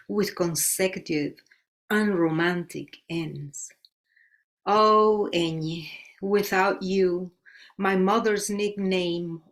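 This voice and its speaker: Slow, measured woman. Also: on the low side. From Colombia. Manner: slow, measured recitation with Spanish words. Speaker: poet. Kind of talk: poetry reading.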